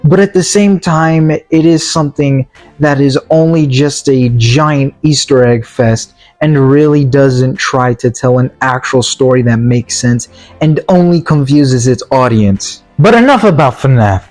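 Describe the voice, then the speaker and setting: A man giving a casual, opinionated movie review as a video commentator, in a halting, repetitive, slangy way. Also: medium pitch, a little mellow, faint music behind.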